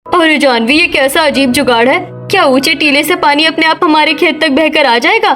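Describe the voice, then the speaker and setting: A woman's voice: sits high, normal speed, fairly bright tone, faint music behind. A woman voicing a practical, outspoken young village daughter-in-law in a Hindi cartoon story. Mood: doubtful.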